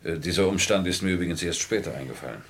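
An actor playing a fatherly older employer, steady and reassuring, in a German radio crime drama. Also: sits low, quick pace, slight background noise. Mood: puzzled, reassuring. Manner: avuncular, reassuring.

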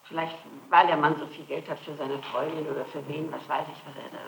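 A middle-aged woman answering questions in a recorded interrogation, insisting and denying in halting, repetitive phrases. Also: low-pitched, little pitch movement. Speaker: suspect under interrogation. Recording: noisy 1960s archival tape.

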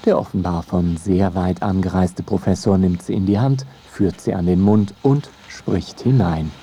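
A man's voice: deep voice, normal speed, warm tone, slight background noise. A man narrating a scripted radio feature in a wry storytelling way.

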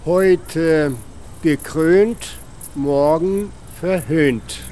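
Man, very slow halting delivery, highish voice, reciting proverbs, faint outdoor noise. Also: mellow. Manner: halting, deliberate German. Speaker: language professor.

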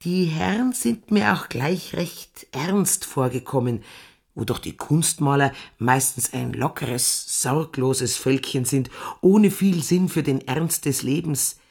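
An actress playing a stately middle-aged farm landlady in a German radio play, speaking in a measured, formal, earnest way. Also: low voice, gravelly, a little mellow, slightly rough, full character voice. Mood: earnest, reflective.

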